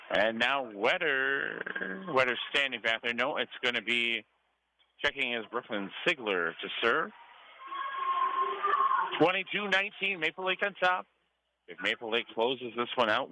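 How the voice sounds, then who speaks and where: A man with an American accent calling a live match as a radio play-by-play announcer. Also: a little high. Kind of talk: live sports commentary. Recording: clearly noisy gym ambience behind. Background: gym noise.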